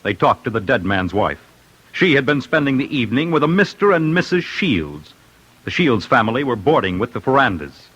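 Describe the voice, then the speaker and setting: A man's voice: medium pitch, big pitch swings, moderate pace, a bit bright, breathy edge, poor audio. Dramatic, swooping American radio narrator, male. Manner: punchy, suspenseful short phrases. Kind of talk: dramatic 1940s-50s radio crime narration. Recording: old radio broadcast with music underneath.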